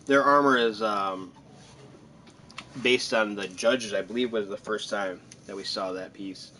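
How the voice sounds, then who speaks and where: A man's voice: lowish voice, a little slow, bright, forceful tone, slight background noise. A man giving casual gameplay commentary, rambling and joking as he thinks aloud. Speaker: gamer commenting on a playthrough.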